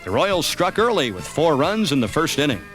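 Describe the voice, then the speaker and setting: A man's voice: a little high, big pitch swings, a little fast, fairly bright tone. An American man reading scripted narration that recaps a baseball series. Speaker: documentary narrator. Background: music.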